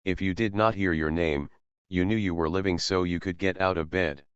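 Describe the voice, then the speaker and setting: A man's voice: sits low, fairly even intonation, moderate pace, clear, resonant tone, no room sound. A man reading a scripted narration about local history as a narrator. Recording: dry but dull, lo-fi sound.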